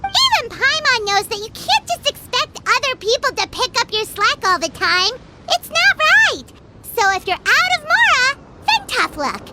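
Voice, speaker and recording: Over loud game noise, a tiny floating fairy sidekick with an extremely high, childlike squeak. She is bossy and indignant, swinging her pitch about with every emphatic line. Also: heavily acted. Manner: emphatic, refers to self in third person. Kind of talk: animated video game voice acting.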